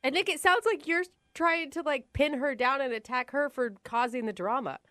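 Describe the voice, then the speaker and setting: Female radio co-host, high voice, dry sound, casual interviewing style. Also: moderate pace, fairly bright tone, no echo.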